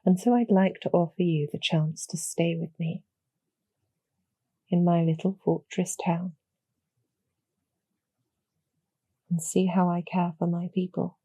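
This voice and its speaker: A woman playing a calm, courteous female demon general in a roleplay audio, speaking softly with measured, gently formal persuasion and a husky, creaky edge. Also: fairly low pitch, dry recording. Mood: earnest, gentle. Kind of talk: slow, soothing scripted roleplay audio for sleep.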